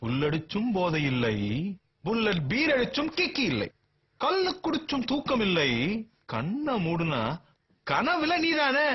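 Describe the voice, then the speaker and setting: A man's voice: very high-pitched, huge pitch swings, measured pace, pauses often, husky, highs cut off, full character voice. A man acting a persistent, lovestruck suitor in film dialogue. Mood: lovelorn.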